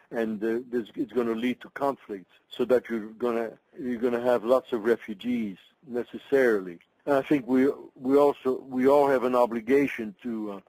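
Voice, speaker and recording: An older man from Belgium, a migration researcher, answering interview questions in a thoughtful, halting way with many restarts. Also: medium pitch, a little mellow, no room sound, rough recording.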